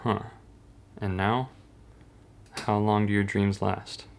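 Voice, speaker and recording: A man playing a composed, sceptical hospital doctor in a horror manga dub, measured and clinical, with a gravelly voice. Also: on the low side, flat delivery.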